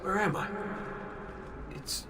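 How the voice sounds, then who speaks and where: A man's voice: takes it slowly, sharp tone, far from the mic, slight background noise. A man voicing a wry, casual young American test subject in conversational video game cutscene acting. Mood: bewildered. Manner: casual, wry, talks to himself.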